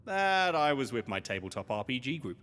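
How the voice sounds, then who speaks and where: Wry sidekick, reverberant. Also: male voice, medium pitch, very animated, fairly quick, a bit bright. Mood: wry, hesitant. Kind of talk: audio drama dialogue.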